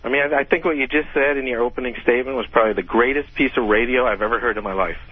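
A man's voice: mid-range voice, rapid-fire, slightly rough. A man phoning a radio show, speaking in an earnest, effusive, personal way. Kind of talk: personal phone call. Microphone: telephone. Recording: thin tinny phone line.

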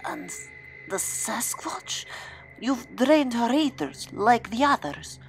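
A woman playing an anguished, defeated military scientist in an audio drama, pleading and halting with great intensity. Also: fairly high pitch, big pitch swings, slight background noise, full character voice. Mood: disbelieving.

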